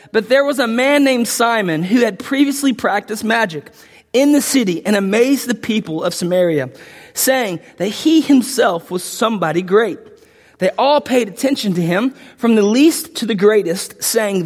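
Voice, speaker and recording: A man preaching a verse-by-verse sermon, his voice a little lower from illness, earnest with frequent restarts and rising emphasis. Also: in a large hall. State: sick, voice deeper than usual. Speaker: pastor.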